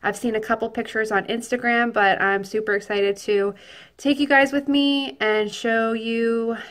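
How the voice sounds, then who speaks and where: A woman's voice: on the high side, fairly even intonation, unhurried, fairly bright tone. A chatty young woman in her twenties talks straight into a handheld camera in her living room at home. She rambles in a bubbly, casual way, with plenty of "like" and "um" between her thoughts. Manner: chatty and bubbly, many "like" and "um" fillers. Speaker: beauty vlogger. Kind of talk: casual vlog chat to camera. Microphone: camera held at arm's length.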